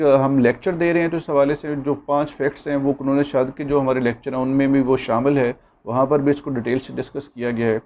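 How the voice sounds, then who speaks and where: A man's voice: a little high, darkish tone, slight background noise. A man with a Pakistani accent giving an informal law lecture, instructively reminding students of points to remember.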